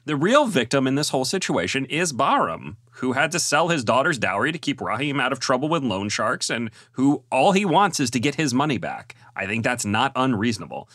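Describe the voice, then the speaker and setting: Man, podcast host, lively pitch, bright tone, dry close sound, film review with fillers. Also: a little fast, few pauses, dry recording. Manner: moderating, reading a film summary with many "uh" fillers.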